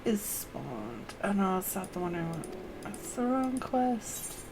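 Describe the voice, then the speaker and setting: A woman streaming a game, talking under her breath as she plays. Her remarks are short and casual, spoken at a middle pitch as she sorts out where to go next. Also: very animated, takes it slowly, background noise. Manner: muttering to herself about where to go. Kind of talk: casual chat while playing a game.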